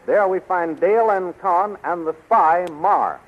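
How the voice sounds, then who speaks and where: A man's voice: sits high, a little slow, a little hiss or hum. A man as a dramatic narrator reading scripted adventure-serial narration in 1930s radio style. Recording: muffled old radio recording, music behind.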